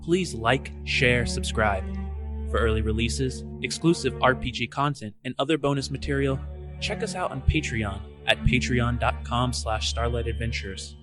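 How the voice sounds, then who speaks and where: A man delivers a scripted podcast sign-off as announcer. His voice is mid-pitched, with music clearly playing behind it. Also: normal speed. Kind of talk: scripted outro narration.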